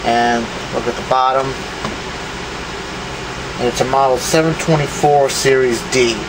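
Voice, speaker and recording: Male hobbyist video host, mid-pitched, casual and rambling, over a clearly audible background hum. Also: steady tone, a little slow. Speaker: video host showing vintage electronics. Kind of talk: casual unscripted demonstration.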